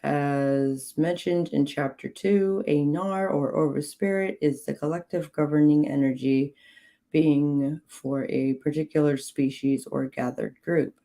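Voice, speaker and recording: A slightly hoarse woman with a low, gravelly voice reads aloud slowly and evenly on a livestream. Her tone is dark and mellow, and she drops in short, dry asides between passages. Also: steady tone. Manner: slow, measured reading with clipped, dry asides to chat. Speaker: livestream host reading from a witchcraft book. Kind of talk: reading aloud with chat asides.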